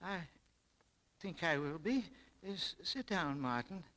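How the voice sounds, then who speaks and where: An actor playing an ailing, remorseful older shop owner in a 1940s radio drama, speaking haltingly and wearily. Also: male voice, on the high side, very animated, sharp tone, heavily acted. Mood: weary, grateful. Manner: halting, weary delivery. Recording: vintage 1940s radio broadcast.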